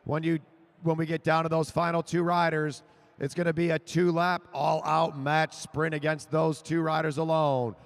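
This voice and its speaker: A man calling a track cycling race live, rising to shouts as the sprint builds. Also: on the high side, moderate pace, creaky. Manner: excited, shouting names over the finish. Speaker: race announcer. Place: velodrome over a PA.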